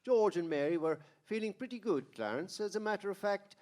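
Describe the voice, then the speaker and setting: Heavenly male storyteller, high voice, plain-spoken, explanatory, measured, old-time radio drama. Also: expressive, darkish tone. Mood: calm, storytelling.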